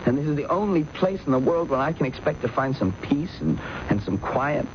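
An actor in a radio drama playing an anxious, defensive office worker, speaking in a nervous, halting, pleading way. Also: male voice, a little high, very animated. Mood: weary, pleading. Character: anxious, defensive male office worker protesting his innocence. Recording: dull, noisy vintage radio recording.

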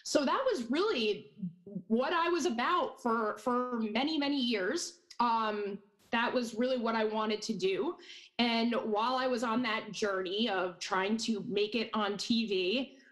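A woman in her thirties from the Hudson Valley in New York, a podcast guest who chats casually with quick, jokey asides. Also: a little high, fairly bright tone. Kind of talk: casual interview chat.

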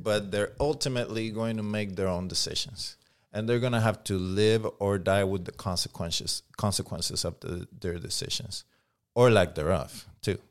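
This man in his forties has a Puerto Rican accent and a deep, smooth, resonant voice. He talks candidly and reflectively as an interview guest, with frequent restarts. He swears casually along the way. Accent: Puerto Rican Spanish. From Puerto Rico. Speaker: guest, firearms instructor.

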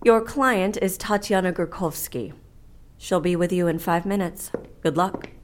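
Casting: woman playing a decisive, no-nonsense female boss in audio fiction, slightly low voice, light background noise. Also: measured pace, close to an everyday voice. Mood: uneasy, businesslike. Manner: decisive, curt. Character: firm, businesslike woman boss keeping order. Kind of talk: audio drama acting.

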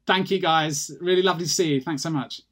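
A British man, a guest patient advocate, talking in an energetic, passionate way with many restarts in a casual podcast interview. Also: on the high side, fast. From United Kingdom.

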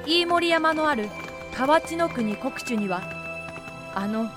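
Casting: woman narrator for a history drama, lightly high voice, courteous explanatory read over music. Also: animated, slow. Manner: polite, explanatory.

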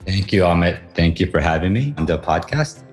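A man's voice: sits low, animated, brisk, soft background music. A man from Taiwan and the US answering interview questions conversationally, with frequent fillers. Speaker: guest author.

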